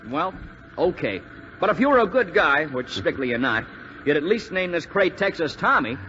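An actor playing an eager, cocky young American bombardier from Texas in a 1940s radio drama. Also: male voice, on the high side, sing-song, normal speed, bright, forceful tone. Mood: cocky, wistful. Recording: muffled, hissy 1940s radio recording.

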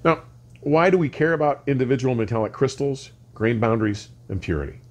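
An older man presenting a scripted technical explanation in measured, deliberate, emphatic phrases with precise wording. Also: mid-range voice, animated, darkish tone. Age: older, around 60. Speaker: host of an audio explainer series. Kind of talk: scripted educational explanation to camera. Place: furnished home listening room, indoors.

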